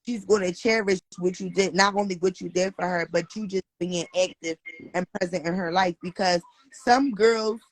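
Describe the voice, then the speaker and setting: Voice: mid-range voice, fairly even intonation, moderate pace, low-quality recording. A host giving earnest, encouraging advice in a casual chat, repeating phrases for emphasis.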